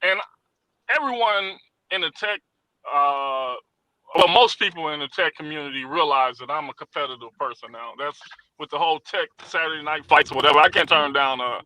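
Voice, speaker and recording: Very bright-voiced man, animated and chatty. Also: on the high side, normal speed, no room sound, poor audio. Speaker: guest, popcorn shop owner. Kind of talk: casual interview chat.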